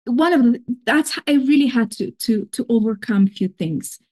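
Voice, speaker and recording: A woman's voice: a little high, lively intonation, normal speed, dark tone. A woman with a foreign accent, a podcast guest, telling her own story in an earnest, reflective way. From grew up in another country. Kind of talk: casual interview talk.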